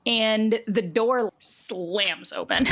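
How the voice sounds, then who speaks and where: Higher-pitched woman game master, informal. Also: drawn-out words, fairly bright tone. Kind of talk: casual improvised narration of a tabletop game.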